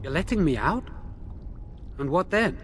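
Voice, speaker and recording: A man's voice: fairly high pitch, animated, unhurried, a little hiss or hum. An actor playing a remorseful, anxious young male blood mage who pleads hesitantly, in video game dialogue. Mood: uneasy.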